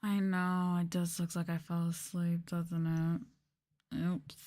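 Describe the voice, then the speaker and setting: A woman's voice: on the low side, flat delivery, slow pace, mellow. A sleepy woman chatting casually and informally as a livestreamer, with a drowsy manner and sighs. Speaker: livestreamer, VTuber. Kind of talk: sleepy casual chat on an ASMR livestream, reading and answering viewers.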